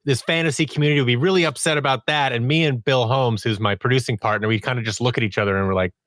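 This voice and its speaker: A man chatting casually as a podcast guest, telling stories in a jokey, animated way and acting out quotes as he goes. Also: mid-range voice, rapid-fire, dry recording, clean studio sound. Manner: animated, jokey, self-interrupting storyteller. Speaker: podcast guest, audio drama writer and producer. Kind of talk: casual interview chat with acted-out quotes.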